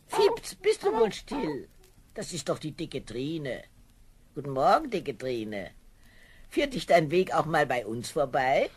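A woman's voice: low-pitched, wildly swooping pitch, slow, husky, heavily acted. An actress playing a fussy, long-suffering middle-aged peasant mother in a children's fairy-tale radio play, fretful and full of exclamations. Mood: exasperated, fretful.

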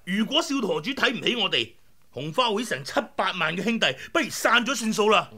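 A man's voice: high voice, animated, a little fast, pushed and bright, full character voice. An actor playing a blunt, hot-tempered rebel brother who speaks his mind, in a radio drama. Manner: forthright, outspoken. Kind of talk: 1990s radio drama acting.

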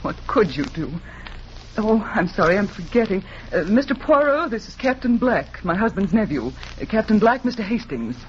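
An actress playing an anxious, evasive, genteel young widow in 1940s radio drama style. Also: female voice, mid-range voice, very animated, normal speed, close to an everyday voice. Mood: flustered. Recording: muffled, noisy vintage 1940s radio recording.

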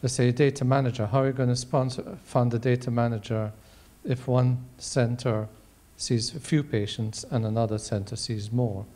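A man in his sixties speaks on a panel in a large auditorium. His voice is mellow and of middling pitch. He builds his points deliberately, with halting phrases. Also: steady tone. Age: 60s. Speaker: panel member, ocular oncologist. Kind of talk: measured panel discussion answer. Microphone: handheld microphone.

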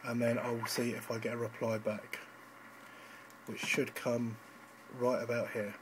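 A man's voice: medium pitch, level tone, measured pace, background noise. A man giving an informal, slightly hesitant live demonstration as an amateur radio operator, recorded on a handheld phone indoors. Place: indoors at a radio station desk.

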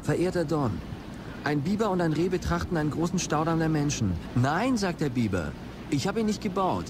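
An actor playing an eccentric visionary game creator in a German audio drama, musing in lofty, literary phrases. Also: male voice, fairly high pitch, animated, a little fast, background noise, lightly acted. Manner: pronouncing, philosophical, literary.

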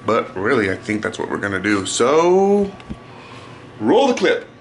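A man's voice: mid-range voice, sing-song, unhurried, a little hiss or hum. Playful young man vlogging at home. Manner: playful, teasing, loose and jokey. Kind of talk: casual home vlog chat. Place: small apartment indoors. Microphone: handheld camera at arm's length.

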